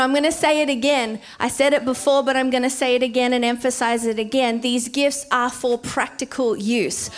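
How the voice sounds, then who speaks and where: A woman in her forties preaching a sermon, slowing to stress key words. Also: high-pitched. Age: 40s.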